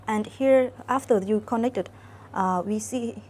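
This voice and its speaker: Young woman, mellow tone. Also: fairly high pitch. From Vietnam. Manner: careful, halting delivery with frequent "uh" and "um" fillers. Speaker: student intern presenting an app. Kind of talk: screen-recorded app demo walkthrough.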